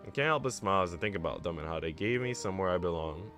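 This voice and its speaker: A man's voice: low voice, expressive, rapid-fire. A man live streaming, switching between casual chat with viewers and reading story text aloud. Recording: music playing behind the voice. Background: background music.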